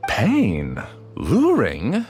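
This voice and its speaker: A man's voice: medium pitch, wildly swooping pitch, extremely slow, a little mellow, full character voice. A man voicing an arrogant, obsessive villain in a video game, scornful, theatrical and menacing. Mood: scornful, smug. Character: arrogant, obsessive male villain ruling a dream world. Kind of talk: scripted video game voice acting. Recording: game music under the voice.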